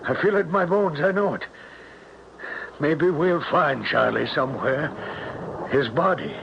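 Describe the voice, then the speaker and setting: Halting, distraught father, creaky voice. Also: fairly high pitch. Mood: grim, convinced. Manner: halting, stammering. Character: distraught, haunted father fearing his son is dead. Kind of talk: old-time radio drama acting. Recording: dull, hissy vintage radio recording.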